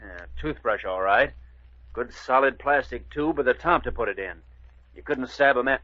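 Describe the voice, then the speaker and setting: An actor playing a shrewd, authoritative police chief with a businesslike manner, in a dull old radio drama recording. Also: male voice, mid-range voice, moderate pace, no echo, lightly acted. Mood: businesslike, probing. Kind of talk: 1940s radio acting.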